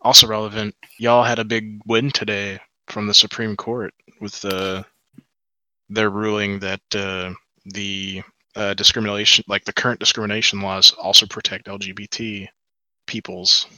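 A man co-hosting a podcast, with a lowish voice that has a very sharp, tense edge. He speaks calmly and briefly. The recording is dry and close. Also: normal speed, dry recording, low-quality recording. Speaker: podcast co-host. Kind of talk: casual podcast chat.

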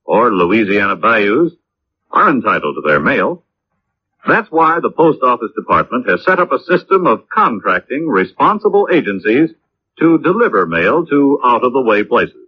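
A man working as a radio announcer reads a scripted 1950s public-service message with folksy, deliberate emphasis and a husky voice. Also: mid-range voice. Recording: muffled old radio recording.

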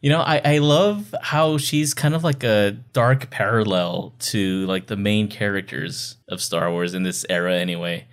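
A man's voice: fairly low pitch, unhurried, few pauses, clear, resonant tone. A man co-hosting a podcast, talking in an enthusiastic, conversational way in a casual book club chat. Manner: enthusiastic, conversational fan talk. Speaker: podcast co-host.

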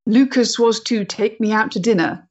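An actress playing a proud, emotionally fragile 1890s woman in love, with a measured, theatrical way of talking in a period stage drama. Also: a little high, a little mellow, dull audio, lightly acted. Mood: wry, proud.

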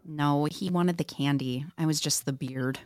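A woman's voice: low voice, measured pace, a little mellow, dry recording, clean studio sound. A woman reading the part of a wry, guarded young college woman in a script table read. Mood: wry, hurt.

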